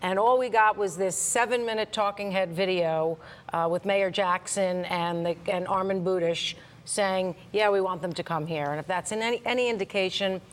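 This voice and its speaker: A woman's voice: mid-range voice, moderate pace. Female TV reporter, mid-range voice, candid and explanatory, talking through analysis conversationally. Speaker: TV news reporter. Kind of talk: conversational live news analysis.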